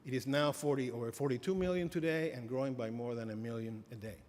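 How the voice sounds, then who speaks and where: A man's voice: mid-range voice, darkish tone. Man lecturing in a reverberant hall. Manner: halting, many fillers and restarts. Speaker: professor giving a keynote address. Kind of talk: academic lecture. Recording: reverberant hall, faint ambience.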